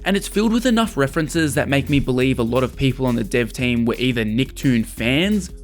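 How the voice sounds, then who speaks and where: A man's voice: medium pitch, animated, brisk, faint music behind. A young adult man narrating a scripted video essay as a game reviewer and host.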